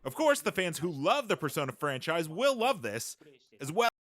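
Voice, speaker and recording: A man interprets in an interview in a fairly high voice with very lively intonation. His delivery is polite and formal, set out as a translated statement. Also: moderate pace, no echo.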